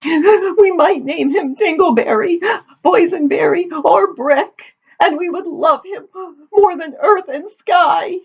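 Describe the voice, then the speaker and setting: Storybook farm daughter: young, weepy, sentimental, very high plaintive sobbing voice. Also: female voice, slow, lo-fi sound, exaggerated voice. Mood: tearful, despairing.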